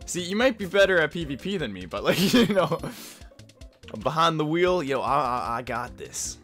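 Animated young man bantering while gaming. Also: on the high side, soft background music. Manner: chatty, halting, repeats phrases. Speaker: gaming video host. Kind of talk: casual banter while playing a kart racing game.

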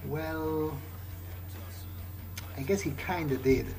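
A man giving in-character playthrough commentary on a game, talking conversationally in halting, hesitant phrases. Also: on the high side. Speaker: gamer role-playing his character. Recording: game music behind the voice. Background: game music.

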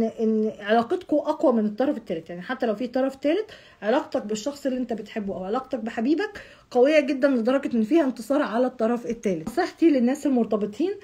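Hoarse, fast-talking Egyptian woman. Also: a little high, a little mellow. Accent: Egyptian Arabic. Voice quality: husky. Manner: chatty, repeats words, addresses the viewer directly, gestures with hands. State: hoarse from shouting. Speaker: astrology channel host. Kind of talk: casual monthly horoscope reading. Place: home room with curtain backdrop.

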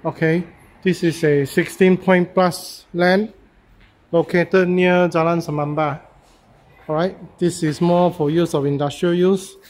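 A man, a real estate agent walking through a property and talking casually, halting and repeating himself with many fillers as he goes. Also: sits high, level tone, a little mellow. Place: walking through a house and yard, in and out of doors.

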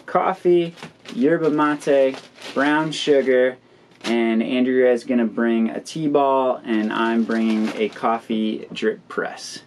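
A man's voice: medium pitch, fairly even intonation, takes it slowly. A man in his thirties giving a casual how-to explanation as an outdoor vlogger. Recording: clear ambient noise behind voice.

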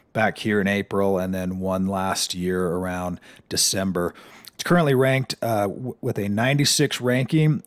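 A man's voice: on the low side, no room sound. A man hosting a stock analysis lesson, talking casually through charts on screen, with frequent fillers and restarts.

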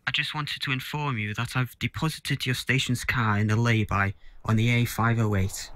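A man playing a cool, collected murderer in an audio drama, calm and matter-of-fact. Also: medium pitch, normal speed, dry recording, close to an everyday voice. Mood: cool, taunting. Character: cool, collected male murderer taunting police.

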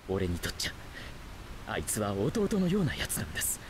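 A man's voice: fairly low pitch, very animated, fairly quick, noisy background. A man playing a stern, protective adult ninja teacher in an anime game, speaking earnestly and firmly. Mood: pleading, earnest. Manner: earnest, firm, admonishing. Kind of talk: dramatic anime game acting.

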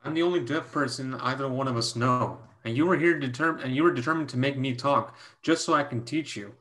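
A man playing a grumbling, loyal young deaf Chinese mathematician, reading haltingly in a staged play reading. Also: mid-range voice, fairly bright tone, lightly acted. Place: home room, video call.

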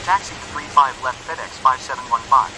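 A man's voice: mid-range voice, quick pace, close to an everyday voice. A man playing a businesslike airport radio operator in a game, speaking formal, scripted aviation phraseology. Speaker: airline pilot and air traffic controller. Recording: hissy radio audio with aircraft noise.

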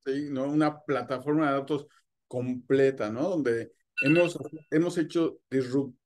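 A man's voice: mid-range voice, fairly even intonation, measured pace, a little hiss or hum. A middle-aged man from Mexico City speaks as a guest in a video-call interview, recorded in a home room lined with bookshelves. He talks in a friendly, open way. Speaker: sales engineer, guest.

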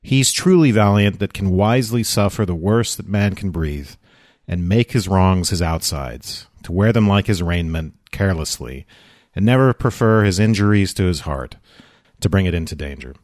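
A grave, formal figure from Shakespeare, read by a man. The voice is fairly low and closely recorded with no room sound, and the verse comes out measured. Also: animated, darkish tone, dry recording, studio quality. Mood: stern. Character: grave, measured male servant or stern senator. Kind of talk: unrehearsed Shakespeare play reading.

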